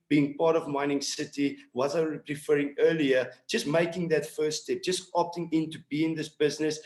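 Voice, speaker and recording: A man's voice: a little high, a little mellow. A South African man whose first language is Afrikaans speaks with an Afrikaans accent in a slightly raised register. He exhorts his listeners earnestly, driving points home through repetition like a motivational team leader. The sound is noticeably echoey and roomy, as on an online call.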